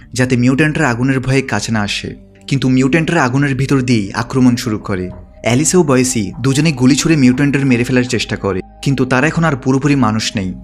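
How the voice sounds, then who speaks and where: Fast-talking man narrating over music. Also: mid-range voice. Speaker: movie recap narrator. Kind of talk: scripted narration, plot recap.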